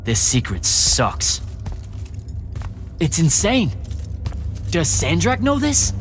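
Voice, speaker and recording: A man acting a brash young mage recruit in a fantasy video game, informal, sarcastic and expressive. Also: fairly high pitch, slow pace, soft background music, exaggerated voice. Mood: indignant, incredulous.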